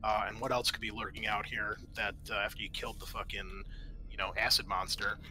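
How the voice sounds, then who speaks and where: A man's voice: on the low side, little pitch movement, brisk, sharp tone, slight background noise, rough recording. A man running a tabletop roleplaying game as game master, talking casually and swearing freely.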